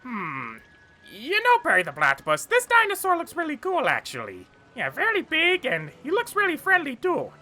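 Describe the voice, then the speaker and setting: A woman as a high-pitched frantic cartoon mad scientist. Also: huge pitch swings, normal speed, sharp tone, exaggerated voice. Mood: admiring. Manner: theatrical, exclamatory, rambling complaints. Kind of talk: cartoon fan tribute monologue.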